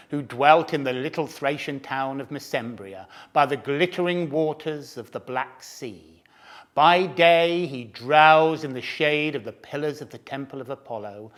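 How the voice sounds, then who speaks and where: A man narrating a storybook tale expressively, dropping at times into hushed whispers. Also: on the high side.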